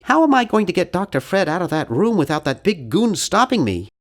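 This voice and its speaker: A man's voice: high-pitched, very animated, fairly quick. An actor playing a nerdy, earnest, awkward young man in an adventure game, with a geeky, fussy, wryly polite delivery. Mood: worried, scheming. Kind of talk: scripted video game voice lines.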